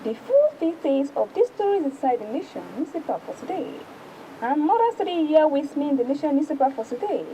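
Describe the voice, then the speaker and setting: A Nigerian woman presents a newspaper review in a high, mellow voice. Her formal reading swings up and down in pitch and sometimes stumbles, with a faint rumble of noise behind it. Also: sing-song, a little fast, rough recording. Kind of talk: news reading and paper review.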